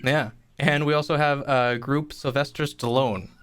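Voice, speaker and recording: A man's voice: medium pitch, steady tone, moderate pace, fairly bright tone, clean tone. A man hosting a show, talking casually through announcements. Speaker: show host. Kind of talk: casual live-show chat.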